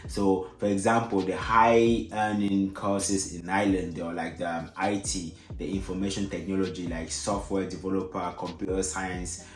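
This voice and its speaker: A young man whose first language is French, giving casual advice in a vlog, rambling with many fillers and restarts. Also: low-pitched. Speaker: vlogger. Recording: echoey room with faint music.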